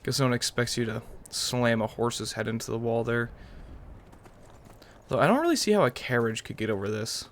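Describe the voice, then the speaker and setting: Young man rambling casually, slightly gravelly. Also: medium pitch, animated, moderate pace, fairly bright tone. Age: young adult. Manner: rambling, musing aloud. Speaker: gaming video host. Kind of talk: casual let's-play commentary. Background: game music.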